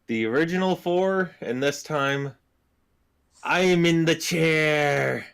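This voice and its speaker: A man leading a tabletop game on a live stream as game master, halting and deliberate, with many pauses and fillers. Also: fairly high pitch, vocal fry, fairly bright tone, smooth.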